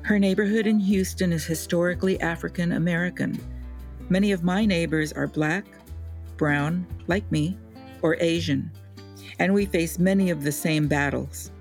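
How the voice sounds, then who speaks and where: Low-voiced woman narrating over guitar. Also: moderate pace, darkish tone. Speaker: co-host and narrator. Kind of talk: scripted documentary narration.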